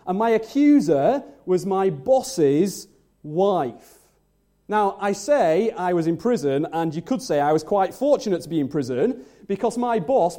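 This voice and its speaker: A man's voice: high voice, mellow. A man in character as a humble biblical figure, telling his own story to a congregation in an earnest, confiding first-person monologue. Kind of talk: first-person dramatic monologue in a church service.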